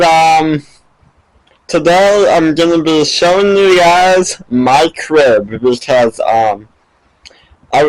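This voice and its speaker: A man hosting a goofy comedy vlog, raspy from a cold, talking hesitantly with many ums and restarts. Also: a little high, dry recording, rough recording. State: has a cold. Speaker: vlog host.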